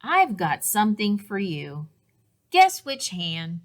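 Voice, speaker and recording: A woman voicing a kindly, playful father bear in a children's storybook, gentle and encouraging. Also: mid-range voice, big pitch swings, slow pace. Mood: reassuring.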